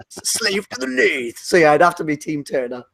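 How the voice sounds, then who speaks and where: A man's voice: fairly high pitch, big pitch swings, moderate pace, creaky, a bit bright, dry recording. A young adult man from South Wales with a Welsh accent, chatting as a podcast guest and rambling with lots of fillers and restarts. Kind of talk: casual chat.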